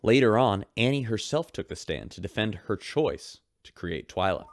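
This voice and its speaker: A man hosting a radio show in scripted narration, with smooth broadcaster delivery. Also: on the low side, animated, moderate pace, no room sound, clean studio sound.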